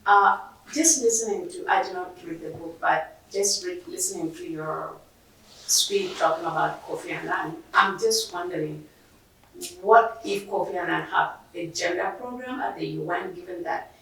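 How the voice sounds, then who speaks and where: A woman's voice: lowish voice, moderate pace, gravelly, a bit bright, distant mic. A young woman from South Sudan, a graduate student, asks a long question from the audience, earnest and hesitant, with restarts. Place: library event room. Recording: very echoey room, voice from afar.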